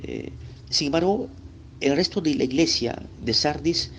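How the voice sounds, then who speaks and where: A man's voice: on the high side, animated, unhurried, creaky, a little hiss or hum. A man from Lima, Peru, with a Peruvian accent, teaching a recorded Bible lesson in a conversational way. He speaks straight to the listener and often checks in with short tag questions. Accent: Peruvian Spanish, Lima.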